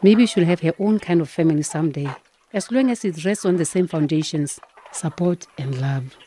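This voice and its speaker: A woman's voice: sits low, moderate pace, warm tone, slight background noise, lightly acted. A woman with a southern African accent playing a patient, reassuring elderly grandmother in a radio drama. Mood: gentle wisdom.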